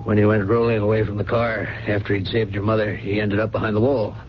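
An actor playing a breezy, reassuring hospital doctor in a 1970s radio drama, chatty and genial. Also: male voice, on the low side, flat delivery, fast, natural voice. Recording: muffled radio broadcast with clear noise.

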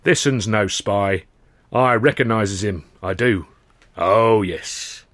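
A man's voice: on the low side, a bit bright, dry recording. A man doing a kindly giant dockworker. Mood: friendly. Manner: folksy, ungrammatical, slow. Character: huge, kindly male dock worker, friendly giant.

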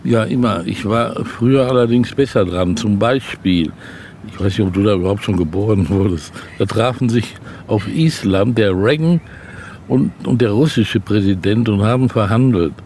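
Older male author, gravelly low voice, meandering storyteller in a relaxed interview, slight background hiss. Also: normal speed. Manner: rambling, chatty storytelling. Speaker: interview guest, novelist. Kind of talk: casual interview chat.

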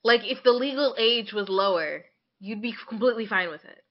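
A woman's voice: a little high, a little fast, highs cut off. A young woman co-hosting a podcast, chatting casually in a blunt, slangy, opinionated way. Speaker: podcast co-host.

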